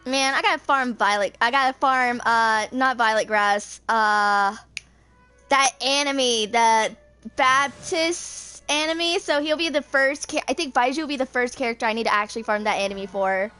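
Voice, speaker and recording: High-voiced young woman streaming a game. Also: normal speed, pushed and bright, clear, resonant tone, soft background music. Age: 20s. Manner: rambling, thinking aloud. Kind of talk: casual rambling gameplay commentary.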